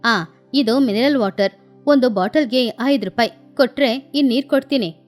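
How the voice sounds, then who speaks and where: A woman's voice: fairly high pitch, moderate pace, faint music behind, close to an everyday voice. A woman voicing a stingy, scheming female crow with a brazen, bossy manner in a children's bird story.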